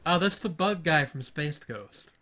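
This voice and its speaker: A man's voice: on the high side, expressive, unhurried, bright, forceful tone. A man co-hosting a gaming livestream, bantering casually as he plays. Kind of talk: casual banter during gameplay.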